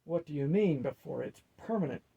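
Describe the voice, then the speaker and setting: A man's voice: fairly high pitch, animated, brisk, gravelly, slightly rough, phone-quality sound, full character voice. Man voicing a grumbling, defiant demon. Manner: grumpy, argumentative. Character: grumbling defiant male demon possessing a warlock's body.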